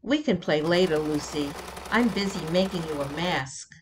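A woman voicing a patient, encouraging mother of a young girl in a measured storybook reading. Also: fairly low pitch, animated, a little hiss or hum, lightly acted. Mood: busy, patient.

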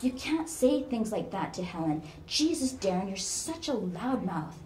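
A woman's voice: medium pitch, very animated, measured pace, darkish tone. Female coworker, played by a woman, storybook: hissed, exasperated, scolding. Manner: hissing, whispered rebukes.

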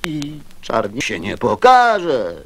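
A man's voice: mid-range voice, wildly swooping pitch, slow pace, a bit bright, slight background noise. A man reciting children's verse as a theatrical radio narrator.

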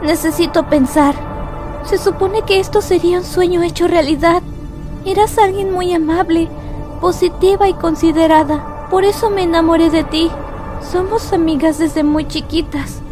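A woman voicing a brooding young female sheep, cold and halting, in a dramatic horror comic dub. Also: very high-pitched, steady tone, over music. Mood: wistful, conflicted.

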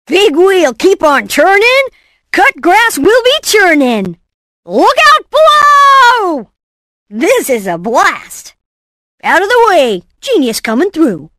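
A brainy, excitable boy inventor from a cartoon game. The voice is bright and forceful, leaping around in pitch, yet takes its time over each theatrical, cocky exclamation. The sound is close and dry. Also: slow, no room sound, heavily acted. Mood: exuberant. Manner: theatrical, self-assured, punchy delivery. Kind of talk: animated game voice clips, short exclamations and catchphrases.

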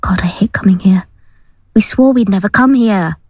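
A woman's voice: mid-range voice, moderate pace, darkish tone, breathy edge, dry recording, lightly acted. A woman playing a nervous, earnest girlfriend dabbling in ghost-banishing spells in an audio drama. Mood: uneasy. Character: anxious abused girlfriend turned ghost-banishing conjurer.

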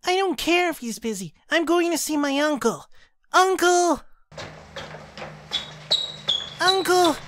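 A woman's voice: extremely high pitch, lively intonation, normal speed, a bit bright, slight background noise. A woman voicing a headstrong young boy in an amateur audio drama. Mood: demanding, insistent.

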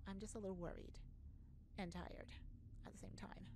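A woman playing an earnest, easily flustered space ambassador, halting and awkward yet formal, in an ASMR sci-fi roleplay. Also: medium pitch, steady tone, close to an everyday voice. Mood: awkward, tired. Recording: noticeable background noise.

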